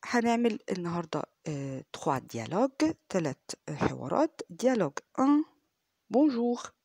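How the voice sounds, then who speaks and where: A woman's voice: medium pitch, big pitch swings, a little mellow, dry recording, studio quality. Egyptian woman teaching French phrases. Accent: Egyptian Arabic. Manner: explains in quick Arabic, repeats French phrases slowly and clearly. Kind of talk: language lesson, reading dialogues aloud.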